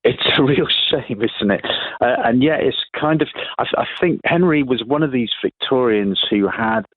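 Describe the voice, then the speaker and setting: A man being interviewed on the radio, talking reflectively and haltingly, often rephrasing as he goes. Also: mid-range voice, dry recording. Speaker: interview guest, playwright. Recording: narrow, phone-like radio sound.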